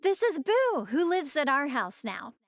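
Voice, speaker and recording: A spirited young woman from an animated game, speaks frankly in a high, lively voice full of pitch swings. She sounds earnest and emphatic, and the sound is very dry. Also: unhurried, dry recording, exaggerated voice. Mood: amazed. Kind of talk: animated video game character dialogue.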